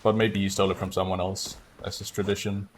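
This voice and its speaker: A man's voice: deep voice, level tone, fast talker, slight background noise. A man giving casual, self-critical commentary while streaming a video game speedrun.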